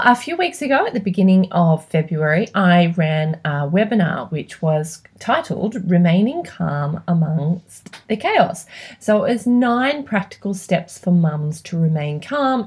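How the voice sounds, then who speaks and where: A woman's voice: medium pitch, a little mellow. A woman presenting informally to listeners as a parenting coach and host.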